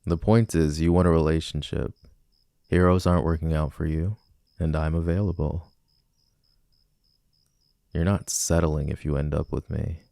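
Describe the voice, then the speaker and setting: A man's voice: very deep voice, steady tone, moderate pace, creaky, pauses often, mellow, no echo. A man playing a cocky, flirtatious villain in an ASMR romance roleplay, teasing and casually persuasive, close on the mic. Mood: earnest wooing. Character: flirty, cocky male villain smitten with a civilian.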